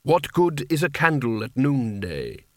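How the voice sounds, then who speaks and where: A man's voice: mid-range voice, huge pitch swings, unhurried, fairly bright tone, dry recording, studio quality. A man voicing a weary, cautious senior wizard in an audiobook, speaking in a deferential, hesitant way. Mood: weary, resigned. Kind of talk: audiobook character voice.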